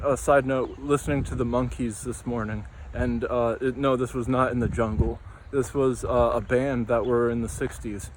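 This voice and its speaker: Young male vlogger, flat, drifting tangents, outdoors with light traffic hum. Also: mid-range voice. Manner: meandering, tangential, many fillers. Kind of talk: rambling casual monologue.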